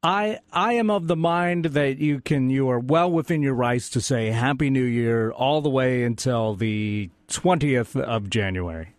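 A man's voice: medium pitch, no room sound. Radio station guy, hesitant, lots of ums, dry studio sound. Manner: hesitant, many fillers, slow and measured. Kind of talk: casual on-air chat.

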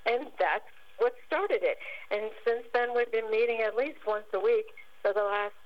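A woman speaks as a guest on a radio interview and tells her story at an easy, unhurried pace. Her voice sits fairly high. It comes through a dull, lo-fi phone line. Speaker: guest author. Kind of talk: interview answers.

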